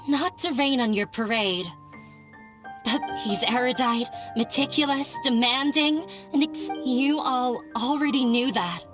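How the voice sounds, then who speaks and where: A woman's voice: high-pitched, unhurried, over music. A woman voicing a blunt, pessimistic young woman, a scholar's daughter, in a game cutscene. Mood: gloomy.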